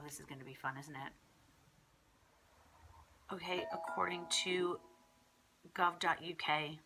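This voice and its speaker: A woman's voice: deep voice, bright, forceful tone, slight background noise, rough recording. A young woman from the south of England with a congested cold, chatting through a casual pregnancy vlog in an exasperated, rambling way, full of fillers. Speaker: vlogger.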